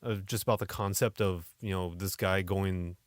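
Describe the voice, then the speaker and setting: A man's voice: deep voice, fairly even intonation, normal speed, no echo, clean studio sound. A man co-hosting a podcast, chatting casually and often hesitating as he searches for words. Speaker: podcast co-host. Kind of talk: casual chat.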